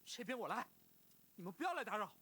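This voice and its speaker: A man playing an arrogant, petulant teenage boy of a noble clan in an animated fantasy drama, sneering and brash. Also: sits high, fast, slightly rough, exaggerated voice. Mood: dismissive.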